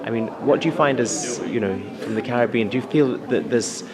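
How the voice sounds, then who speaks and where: A man's voice: mid-range voice, fairly quick. Thoughtful man asking questions. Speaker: course instructor asking questions. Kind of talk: interview question. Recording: chatter of a crowd behind.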